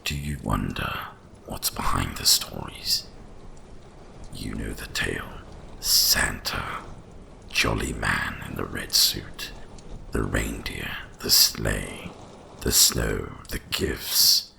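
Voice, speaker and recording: A man voicing an ancient, cursed winter dragon in a hushed ASMR fantasy monologue, with a gravelly, breathy rumble and slow, mysterious storytelling. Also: heavily acted. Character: ancient cursed male winter dragon, wise, once proud, brooding.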